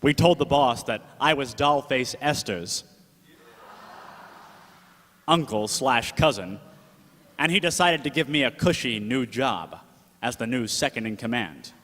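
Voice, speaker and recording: A man's voice: mid-range voice, animated, unhurried, long pauses, a bit bright, a little hiss or hum. A man playing a gangster's-tale narrator in the style of old 1930s radio drama. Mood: storytelling. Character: Mordecai, gangster-tale narrator, loyal uncle turned second in command. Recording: echoey hall, long reverb.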